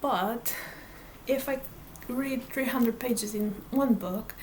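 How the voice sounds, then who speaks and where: Woman, native Portuguese speaker, speaking English, casual vlog chat, slow pace, hesitant with fillers, faint room noise. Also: on the high side, darkish tone, low-quality recording. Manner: hesitant, many fillers and restarts. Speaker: book vlogger.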